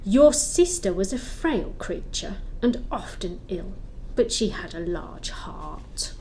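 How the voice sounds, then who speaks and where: A calm spirit played by a woman in an audio play, solemn and probing. She takes her time over each line, at a middling pitch. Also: slight background noise, rough recording. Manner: measured, questioning, solemn. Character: calm, probing Christmas spirit guiding an old miser.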